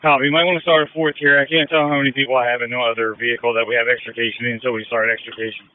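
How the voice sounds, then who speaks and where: A man's voice: medium pitch, flat delivery, racing, smooth, no echo. A man acting as fire incident commander, giving businesslike orders over a two-way radio. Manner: directive, businesslike. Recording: narrow muffled fire radio transmission.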